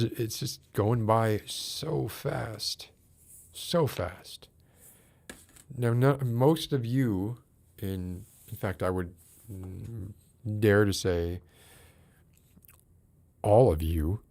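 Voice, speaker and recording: A man in his late forties with a very low voice chats on his livestream at an unhurried pace. He muses and rambles wryly and stops to greet viewers in the chat by name. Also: creaky, darkish tone. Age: 40s. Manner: musing, rambling, wry. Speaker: livestream host.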